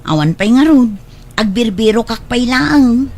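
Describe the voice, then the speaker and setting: Woman playing a hesitant young woman, fairly high voice. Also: expressive, a little slow, a little mellow. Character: hesitant, polite young village woman seeking work. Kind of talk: scripted audio comedy drama dialogue.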